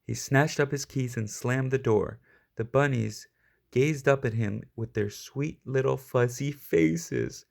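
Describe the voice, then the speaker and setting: A man reading a children's picture book aloud as an expressive storyteller. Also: mid-range voice, slow, gravelly. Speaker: storybook reader.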